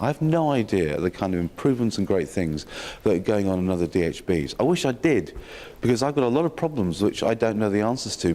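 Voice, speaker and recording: A man with a slightly croaky voice giving a welcome speech at a health sector session, with dry self-mocking humour and many ums and restarts. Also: low voice, darkish tone. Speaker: opening speaker at a health sector learning session. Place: conference hall. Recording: long hall reverb.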